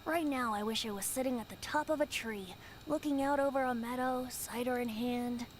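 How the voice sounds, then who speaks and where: A woman voicing a youthful, boyish male wind spirit bard in a video game, poetic and playful, telling tales in a measured way. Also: a little high, natural voice. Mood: light, playful. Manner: poetic, measured, gentle storytelling. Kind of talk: video game voice acting, verse narration.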